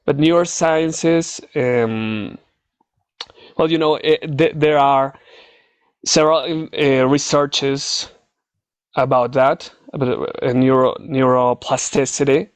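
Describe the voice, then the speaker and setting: Male Mexican scientist, native Spanish speaker, slow halting conversational delivery, medium pitch, dry sound. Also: steady tone, smooth, dry recording. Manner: hesitant, searching for words. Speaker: scientist, English learner being interviewed. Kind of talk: conversational interview answers.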